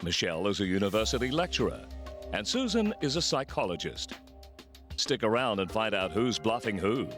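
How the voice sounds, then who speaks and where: A man's voice: on the low side, sing-song, moderate pace, music in the background. A man narrating a voice-over in a teasing, dramatic way. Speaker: voice-over announcer. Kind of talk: scripted narration.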